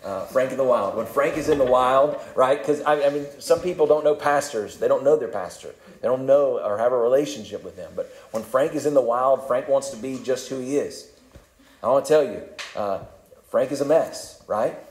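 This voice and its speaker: A male pastor preaching a sermon in a calm, conversational way, self-deprecating and often repeating words. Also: mid-range voice, moderate pace, reverberant, poor audio. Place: church sanctuary.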